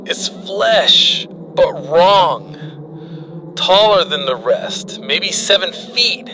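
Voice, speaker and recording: Guy doing dramatic horror narration, super slow n grave, short halting phrases, noisy ambience behind him. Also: fairly high pitch. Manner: grave, clipped, halting phrases with heavy pauses.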